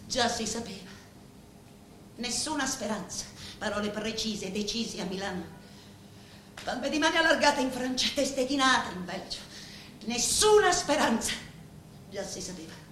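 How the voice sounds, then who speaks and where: A woman's voice: on the high side, expressive, unhurried, long pauses, a bit bright. An actress playing a grieving, composed Sicilian woman pleading before a mob boss in a theatrical radio drama, with solemn, emphatic phrasing. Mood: sorrowful. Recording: roomy echo with faint background noise.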